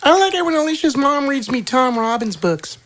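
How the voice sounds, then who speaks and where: A woman's voice: high voice, big pitch swings, moderate pace. A woman playing a crude, cheeky toy puppet princess with brash, giggly delivery in an improvised comedic audio drama. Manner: brash, foul-mouthed, giggly.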